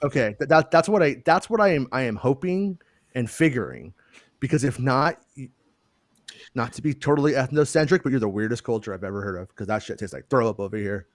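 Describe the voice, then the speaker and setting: A man in relaxed conversation, hosting a call and tripping over his own words. His voice sits at an ordinary middle pitch, and the sound is close and dry with no room around it. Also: brisk, no room sound. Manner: stammering, repeats words, self-deprecating jokes. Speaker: podcast host. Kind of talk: casual chat.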